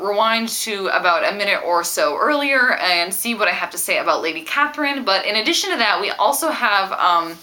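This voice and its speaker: A woman's voice: mid-range voice, bright, forceful tone. A woman book vlogger talking casually to her viewers in a chatty, analytical, emphatic way. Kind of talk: casual book discussion to camera.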